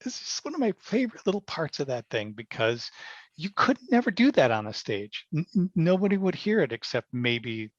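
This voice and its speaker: A man talking casually as an interview guest, explaining through anecdotes, often with halting starts. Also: a little high, sing-song, dry recording, dull audio. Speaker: podcast guest, stage actor and director.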